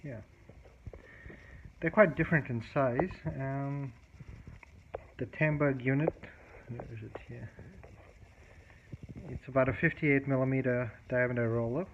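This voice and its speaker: A man casually demonstrating a tape recorder repair at his workbench, explaining step by step in a halting way, with many fillers and restarts. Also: medium pitch, fairly even intonation. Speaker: hobbyist repairer. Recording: dull, lo-fi audio with clear background noise and clicks.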